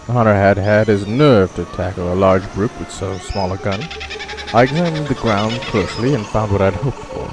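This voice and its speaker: An actor playing a shipwrecked big-game hunter in a radio drama, telling his story in a dramatic, measured way. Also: on the low side, natural voice. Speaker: narrator and lead actor. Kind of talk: old-time radio drama, first-person narration.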